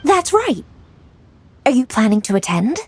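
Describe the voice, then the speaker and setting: A woman's voice: high voice, sing-song, a little slow, darkish tone, slight background noise. A woman playing a young, diligent female official in a video game, speaking in a composed, polite and formal way.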